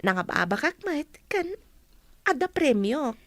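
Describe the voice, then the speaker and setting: A woman's voice: sits high, very animated, unhurried, no room sound. An actress playing a modest, polite young woman painter in a radio drama. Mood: shy gratitude.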